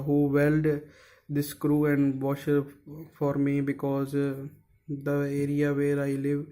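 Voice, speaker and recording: Slow, halting man. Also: on the high side, mellow. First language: Punjabi. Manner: hesitant, searching for words, many fillers. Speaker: DIY maker. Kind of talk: casual how-to narration.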